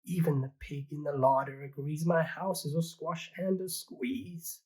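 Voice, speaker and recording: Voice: mid-range voice, measured pace, a little mellow, slightly rough, voice pushed up. A reader voicing a fretful little old woman who keeps begging for help with her crowded house, in a pleading, sing-song storybook manner.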